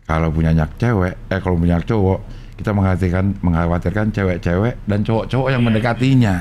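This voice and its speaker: Low-voiced Jakarta man hosting over background noise. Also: quick pace, darkish tone. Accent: Jakarta Indonesian. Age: 50s. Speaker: interview host. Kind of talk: casual chat.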